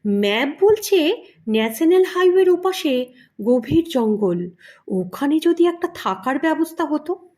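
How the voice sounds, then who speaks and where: A woman's voice: high-pitched, very animated, measured pace, mellow. A woman voicing an earnest, polite middle-aged male photographer in an audio story, speaking formally with English phrases mixed in. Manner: formal, courteous, emphatic, mixing in English phrases.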